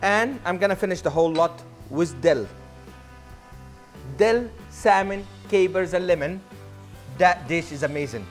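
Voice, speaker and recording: A man's voice: high-pitched, animated, a little slow, long pauses, faint music behind. A man in his thirties, a chef giving an enthusiastic cooking demonstration on a studio kitchen set, on a lapel mic. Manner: enthusiastic, non-native phrasing.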